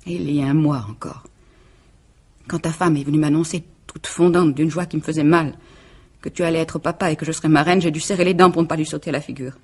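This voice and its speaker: A woman's voice: deep voice, steady tone, quick pace, slightly rough. An actress playing a bitter, jealous woman bent on revenge, theatrical and sardonic, in 1950s French radio drama style. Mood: seething, warning. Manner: theatrical, sardonic, menacing monologue. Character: bitter, jealous, vengeful scorned woman turned cold killer.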